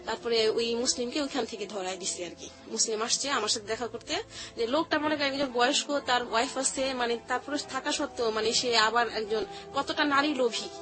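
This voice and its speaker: A woman's voice: a little high, fairly quick. A woman with a Bangladeshi accent giving a personal account as an interview guest, explaining in colloquial, repetitive phrases. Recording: music playing behind the voice. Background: background music.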